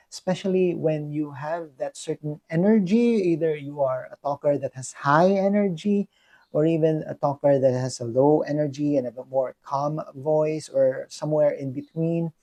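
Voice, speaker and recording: Earnest Filipino man, husky and mellow. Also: fairly high pitch, low-quality recording. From Philippines. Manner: many fillers and restarts, earnest. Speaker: vlogger. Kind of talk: personal reflection monologue.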